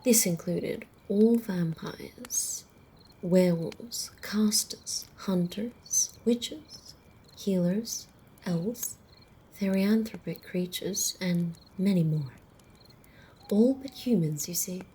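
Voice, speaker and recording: A woman playing a calm, ancient female vampire in an ASMR roleplay, telling old lore in a soft, measured half-whisper. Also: medium pitch, poor audio. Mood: calm, wistful. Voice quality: soft, breathy, often whispering. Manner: measured, deliberate, hushed storyteller. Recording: faint outdoor night noise, crickets.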